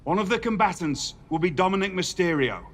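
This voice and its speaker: A man playing an older general manager in a game cutscene, a stern, paternal mentor with a formal, measured, admonishing manner. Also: sits high, expressive, fairly bright tone. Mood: encouraging, grave. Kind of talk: dramatic video game cutscene dialogue.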